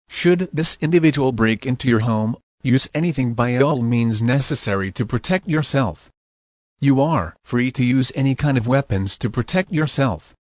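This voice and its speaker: A male announcer with a mid-pitched voice reads scripted horror-style news and emergency alerts. The sound is dry and close, with no room reflections. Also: no room sound.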